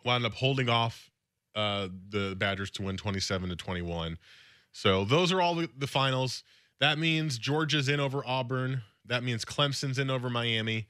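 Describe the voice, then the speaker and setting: A man co-hosting a sports radio show, chatting quickly and casually about college football with a lot of filler words. His voice sits a little low but sounds bright and slightly raspy. The close mic leaves the sound very dry. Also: dry recording. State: slightly raspy from recent illness. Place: radio studio.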